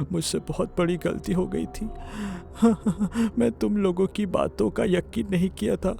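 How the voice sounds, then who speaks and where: Guy acting as a sorry village dad in a cartoon, high voice, slow and halting, kinda begging. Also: steady tone, vocal fry, music in the background, exaggerated voice. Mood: sobbing, regretful. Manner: halting, pleading, repeats words. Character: remorseful father, newly rich villager, pleading and humble. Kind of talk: Hindi moral-story cartoon acting.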